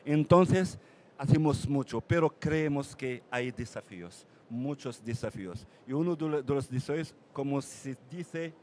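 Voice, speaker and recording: Man, mayor, halting, restarts often, panel talk, faint background hiss. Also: mid-range voice, a little mellow. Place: conference panel.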